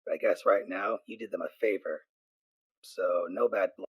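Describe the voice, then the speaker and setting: A man voicing a weathered female ghoul pony soldier, raspy and gruff but gentle, in an audio drama. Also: low-pitched, steady tone, normal speed, no echo, low-quality recording. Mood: wry, kindly. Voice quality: raspy, husky.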